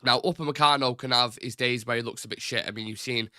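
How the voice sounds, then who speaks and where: Young guy streaming footy chat, stuffy nose, nasal and bright, dry sound. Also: medium pitch, fairly even intonation, fast talker, dry recording. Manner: rambling, chatty, informal. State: congested, blocked nose. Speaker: football channel host. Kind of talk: casual live-stream chat.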